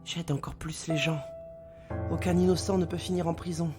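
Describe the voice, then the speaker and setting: A woman playing a dedicated investigator in a French audio drama, earnest and measured, slightly hesitant. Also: lowish voice, steady tone, over music, lightly acted. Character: earnest, dedicated female investigator with special powers.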